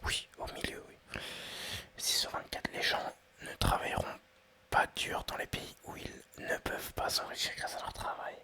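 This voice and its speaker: A man in his twenties whispering ASMR-style as he thinks aloud through quiz questions, hesitant and rambling with many pauses and restarts. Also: bright, forceful tone. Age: 20s. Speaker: ASMR creator.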